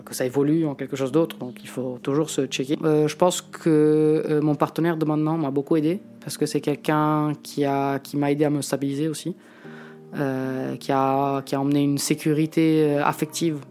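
A man in his late twenties from Italy, talking frankly and reflectively in an interview and often pausing to find his words. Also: a little high, a little mellow. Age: late 20s. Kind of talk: candid personal testimony.

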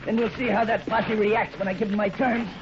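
Male actor as bossy western outlaw, very high-pitched, urgent, commanding, noisy muffled old radio recording. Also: little pitch movement, a little fast, natural voice. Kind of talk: 1950s radio western acting.

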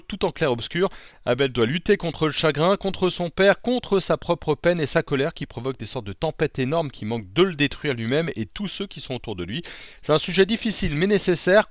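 Man reading a brisk upbeat review. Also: a little high, lively intonation, no echo, clean studio sound. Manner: upbeat, reading with light asides and some hesitation. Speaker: podcast columnist. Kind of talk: scripted review chronicle.

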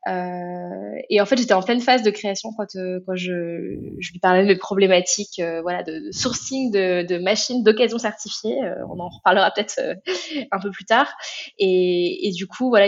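A woman's voice: mid-range voice, highs cut off. A woman hosting a casual business interview, friendly and hesitant, with many fillers. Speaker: host, company founder.

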